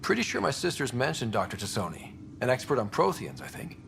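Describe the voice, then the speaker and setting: An actor playing an easygoing young explorer son in a video game, talking in a casual, wry way. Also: male voice, medium pitch, big pitch swings, a little fast, gravelly, fairly bright tone, slight background noise. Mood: wry, thoughtful. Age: young adult.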